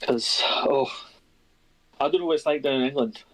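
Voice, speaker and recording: A man with a Scottish accent chatting easily as a guest on a stream, reading out a list with pauses. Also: medium pitch, a bit bright, slight background noise, rough recording. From Scotland. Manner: easygoing, reads out a list with pauses. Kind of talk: casual chat.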